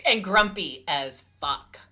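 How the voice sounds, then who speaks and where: Slow-paced woman podcast co-host. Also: fairly low pitch, expressive, bright, forceful tone. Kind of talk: casual book review chat.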